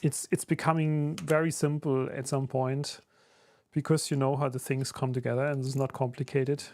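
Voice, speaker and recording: A man's voice: medium pitch, normal speed. German man chatting on a live stream. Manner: relaxed and conversational, many fillers, answers chat. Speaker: streamer and YouTuber building a 3D printed enclosure. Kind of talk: casual live stream chat while building.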